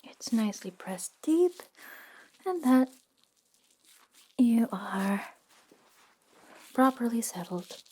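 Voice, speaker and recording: A woman playing a friendly, reluctant modern witch in a soft-spoken, gently informal ASMR roleplay that slips into whispers. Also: on the high side, very animated, takes it slowly, pauses often, husky, full character voice. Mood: soft, soothing.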